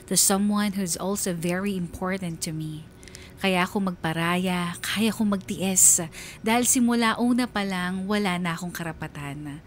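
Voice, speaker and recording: A woman's voice: fairly low pitch, lightly acted. A woman playing a lovelorn young woman, narrating her secret love earnestly and confessionally in a radio drama.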